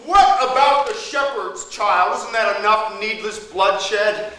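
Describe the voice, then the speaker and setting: A man's voice: high-pitched, slow pace. A man in a staged play reading playing an exasperated, earnest father who speaks in plain modern language. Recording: distant, very echoey stage, clear noise.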